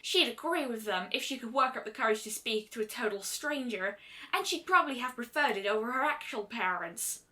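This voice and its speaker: A woman's voice: a little high, lively intonation, brisk, pushed and bright. A woman voicing a brash, confident young female pegasus pony with a blunt, casual manner, in a story reading. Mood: frank.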